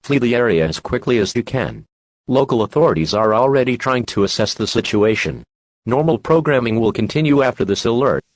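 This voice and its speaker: A man's voice: mid-range voice, expressive, fairly quick, dry recording, close to an everyday voice, rough recording. A man voicing an impersonal emergency alert announcer, reading scripted civil warnings in a formal, instructive manner. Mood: impersonal, official.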